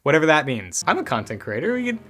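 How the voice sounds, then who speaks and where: A man's voice: on the high side, huge pitch swings, fast talker, fairly bright tone, faint music behind. A man hosting a gaming news show in a casual, conversational way, dropping wry asides as he goes.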